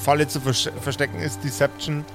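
A man's voice: a little high, little pitch movement, brisk, music in the background. A man, casually improvising narration as game master of a tabletop role-playing game.

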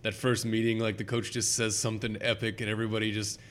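A man co-hosting a casual sports podcast, asking questions in an animated, joking way and acting out quotes. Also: fairly low pitch, fast talker. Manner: animated, jokey, acts out quotes. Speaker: podcast co-host.

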